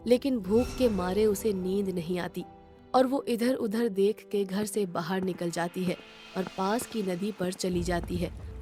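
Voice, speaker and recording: A woman's voice: mid-range voice, warm tone. A woman narrator reading a scripted moral story.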